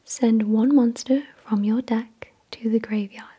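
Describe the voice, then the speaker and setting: A woman whispering softly and breathily in ASMR style, reading aloud in a deliberate, measured way. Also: a little high, steady tone, breathy edge. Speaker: ASMR video creator and host. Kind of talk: soft-spoken ASMR, reading card text aloud.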